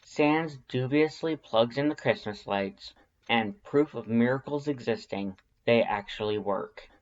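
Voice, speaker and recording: A man reading fan fiction aloud as expressive scripted story narration. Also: mid-range voice, measured pace, muffled recording.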